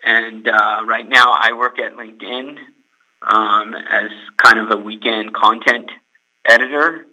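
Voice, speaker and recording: A young man from California speaks as a podcast guest. He is hesitant, repeats words and uses many fillers. His voice is very bright. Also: on the low side, fairly even intonation, measured pace, low-quality recording. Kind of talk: casual podcast interview.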